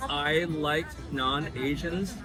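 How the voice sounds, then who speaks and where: Relaxed higher-voiced man with music behind. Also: extremely slow, rough recording. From United States. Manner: relaxed, frequent fillers and laughs. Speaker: guest. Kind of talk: casual interview chat.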